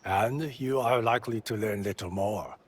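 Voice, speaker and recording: Learned feudal clan historian, heavily gravelled raspy voice, lowish, formal, cautionary, video game acting. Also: male voice, moderate pace. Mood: knowing, reflective. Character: sage, formal feudal collector of clan lore.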